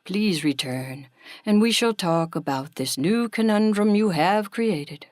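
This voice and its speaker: A patient female animal guide from a children's story, played by a woman. She speaks slowly and formally, gently admonishing, in a sensible and measured way. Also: mid-range voice, lively intonation, dry recording, studio quality, close to an everyday voice. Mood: solemn.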